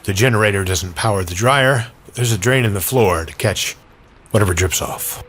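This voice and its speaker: A man voicing a kind-hearted, practical woman in an audio drama, with a gentle, welcoming manner. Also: on the low side, normal speed. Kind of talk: audio drama character acting.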